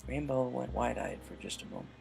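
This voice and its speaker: A man narrates a written story. His voice sits at a middle pitch with noticeable vocal fry, and soft music plays faintly behind him. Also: a little fast. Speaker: narrator reading a fan-fiction story.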